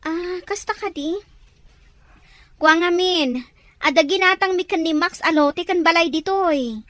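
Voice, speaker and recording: Very high-voiced Ilocano daughter played by a woman. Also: a little slow, fairly bright tone, no echo. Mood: pleased, casual.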